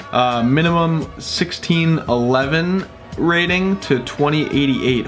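A man's voice: mid-range voice, fairly bright tone. A young man, a little sick, chatting casually with lots of fillers while playing a baseball video game at home. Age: young adult. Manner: chatty, rambling, upbeat with frequent fillers. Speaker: gaming YouTuber. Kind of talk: casual gameplay commentary. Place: small room at home, indoors.

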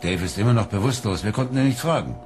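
Actor as a low-voiced businesslike police inspector. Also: male voice, animated, quick pace, background noise, natural voice. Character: businesslike male police inspector briefing a hunter. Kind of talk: radio drama acting.

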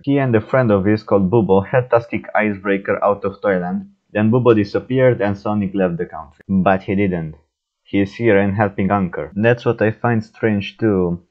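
Guy acting a no-nonsense cartoon cop, kinda low voice, plain matter of fact delivery. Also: muffled recording, natural voice. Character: earnest no-nonsense male police officers in toy city. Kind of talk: amateur stop-motion film voice acting.

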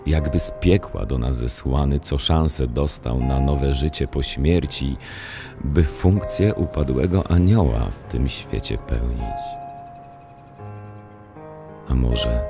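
An actor in an audio play reciting verse as an anguished psychiatric patient, brooding and deliberate, pleading with his absent mother. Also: extremely low pitch, gravelly, music in the background, exaggerated voice. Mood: haunted pleading.

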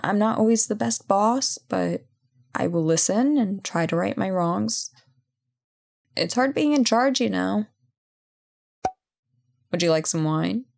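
A woman playing a self-assured but guilt-ridden CEO and former bully in an intimate audio roleplay, speaking conversationally with hesitant pauses. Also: medium pitch, animated, vocal fry, darkish tone, exaggerated voice. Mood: candid, coaxing. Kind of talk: intimate ASMR roleplay acting.

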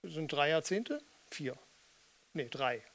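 Older man, slightly raised pitch, stage performer, casual banter, small theatre. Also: big pitch swings, takes it slowly, fairly bright tone. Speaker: performer, singer and spoken-word artist. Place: small theatre with red seats and curtains. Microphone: studio mic on stand with pop filter.

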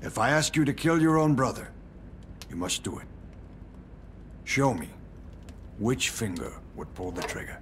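An actor playing a middle-aged mafia don in a game cutscene, speaking formally, with ceremonial gravity. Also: male voice, medium pitch, big pitch swings, a little slow, long pauses, a bit bright, slight background noise. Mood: solemn, menacing.

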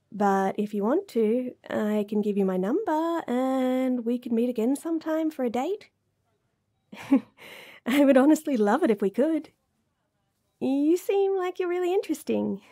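Female actor as smitten, flustered young bunny girl, romantic roleplay. Warm, relaxed, slightly high voice; stammering, bashful rambling. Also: heavily acted. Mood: shy, eager. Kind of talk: romantic audio roleplay acting.

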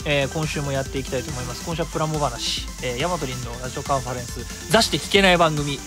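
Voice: mid-range voice, fast, over music. A radio host chatting casually and solo about a hobby, thinking aloud and self-correcting along the way.

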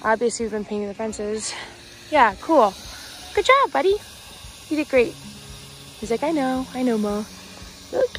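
A woman's voice: a little high, very animated, normal speed, long pauses, a little hiss or hum, rough recording. A young woman in her twenties chatting casually as she vlogs outdoors on a farm, filming herself on a phone held at arm's length. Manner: chatty, bubbly, talks to animals in a baby voice. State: out of breath while running. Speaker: vlogger.

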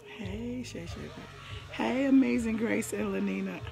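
A hoarse woman in her forties, chatting casually on a live stream from her living room, rambling and greeting viewers by name, with a phone held at arm's length. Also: on the high side, unhurried, loud music behind the voice. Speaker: livestream host. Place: living room at home, indoors.

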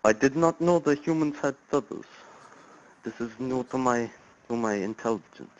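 A prickly, overly formal Russian immigrant caller, put on by a man in a thick mock accent. He speaks in a stiff, literal way, and his voice is low. The line sounds muffled and lo-fi. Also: moderate pace, slight background noise, lightly acted. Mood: offended.

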